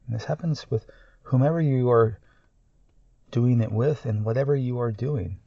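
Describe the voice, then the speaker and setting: A man leading a guided meditation in a calm, soothing, measured way, pausing often between short phrases. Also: mid-range voice, gravelly, no room sound, dull audio.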